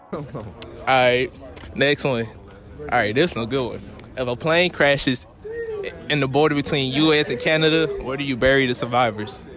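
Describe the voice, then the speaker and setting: A man's voice: mid-range voice, music in the background. A young man quizzing students outdoors on a campus with trick questions, playful and teasing. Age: young adult. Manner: playful, teasing, drawn-out riddle delivery. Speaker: street quiz interviewer. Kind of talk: casual street prank quiz.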